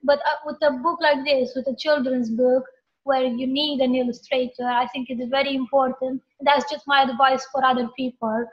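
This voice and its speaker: A woman's voice: sits high, level tone, normal speed. A woman hosting an interview, asking rambling questions in a chatty way with many fillers. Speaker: interview host. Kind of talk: casual interview chat. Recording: muffled lo-fi call audio.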